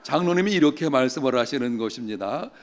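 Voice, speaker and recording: A middle-aged man preaching a sermon as a pastor, telling stories and slowing down to stress key phrases. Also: mid-range voice, expressive. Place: church sanctuary.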